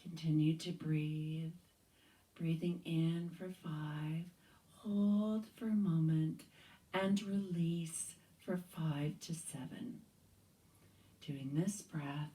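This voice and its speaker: A soft-spoken woman leading a gentle yoga practice, guiding breaths calmly and sometimes dropping to a whisper. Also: sits low, recorded from a distance, low-quality recording. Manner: slow, calm guiding with whispered cues. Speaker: yoga teacher. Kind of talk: guided yoga instruction. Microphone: handheld or clip mic.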